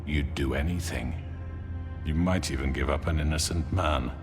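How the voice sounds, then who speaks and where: Crime boss, very deep, theatrical, measured, manipulative, music behind, video game. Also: male voice. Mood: calmly persuasive. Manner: measured, theatrical, silky self-righteous speechifying. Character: smooth, manipulative crime boss, self-justifying older man. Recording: reverberant hall sound under loud music.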